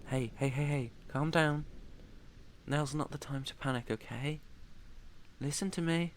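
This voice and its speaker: A man's voice: fairly high pitch, a little slow, a little hiss or hum, lightly acted. A British man playing an ancient vampire master in an ASMR roleplay, proud, protective and tender, with an earnest, slightly formal way of talking. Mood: soothing, protective. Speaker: roleplay voice performer.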